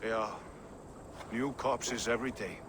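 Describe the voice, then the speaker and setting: A man playing a blunt, cynical city guardsman in a video game, with a gruff, sardonic, colloquial delivery. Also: mid-range voice, takes it slowly, noisy background, natural voice. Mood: sober, watchful. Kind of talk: dramatic video game cutscene acting.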